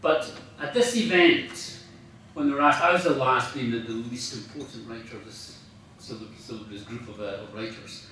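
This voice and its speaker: A man's voice: medium pitch, moderate pace, pushed and bright. Scottish man speaking in a very echoey room. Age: middle-aged or older. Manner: rambling, self-deprecating, humorous. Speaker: after-dinner speaker, professional writer. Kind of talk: informal anecdotal speech to an audience. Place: large room at a club dinner. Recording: echoey room, distant mic, faint noise.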